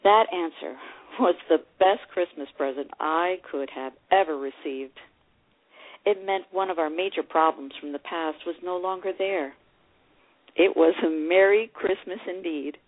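Woman as wistful older divorcee, low, phone-like sound. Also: unhurried. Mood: grateful. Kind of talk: dramatic reading of a romantic memoir. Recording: phone-like narrow radio sound.

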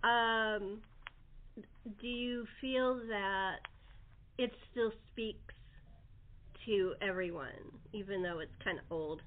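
A woman's voice: medium pitch, slow pace, pauses often. A woman hosting a casual cast interview show. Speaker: podcast host and producer. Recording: thin phone-like line.

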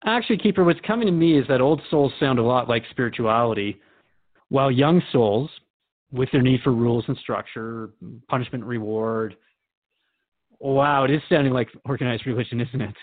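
Radio drama role: male actor as an inquisitive, wry talk-show host, natural conversational delivery, medium-pitched voice. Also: normal speed, phone-quality sound, lightly acted. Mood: thoughtful, hesitant. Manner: conversational, wryly questioning. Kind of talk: scripted radio drama interview.

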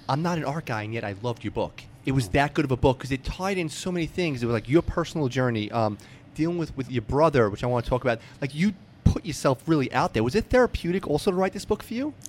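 A man's voice: mid-range voice, lively intonation, fast talker, slight background noise. An American man hosting a casual interview, chatty, effusive and plain-spoken. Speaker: interviewer, show host.

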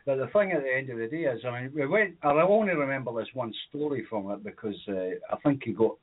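Scottish man, husky voice, rambling anecdotes, tinny phone-line sound. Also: mid-range voice, lively intonation, fast talker. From Scotland. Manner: rambling anecdotes with many fillers, laughs at own stories. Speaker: radio show co-host. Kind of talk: comedic storytelling on a podcast.

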